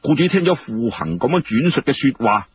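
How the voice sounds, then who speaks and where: A man's voice: mid-range voice, a little fast, breathy edge, narrow, tinny audio. A man telling a story in a dramatic, colloquial and conversational way. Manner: animated, colloquial, conversational asides. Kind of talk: dramatic Cantonese audiobook storytelling.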